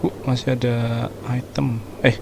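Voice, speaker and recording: A man's voice: mid-range voice, little pitch movement, normal speed, gravelly, background noise. A young Indonesian man giving casual, slangy gameplay commentary as a streamer. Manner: informal, slangy. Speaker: gaming streamer. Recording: game music behind the voice. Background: game music.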